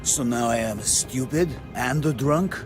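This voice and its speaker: An actor playing a defensive, prickly, tipsy ship's porter, gruff and indignant, in scripted game dialogue. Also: male voice, mid-range voice, a little slow, music in the background, lightly acted.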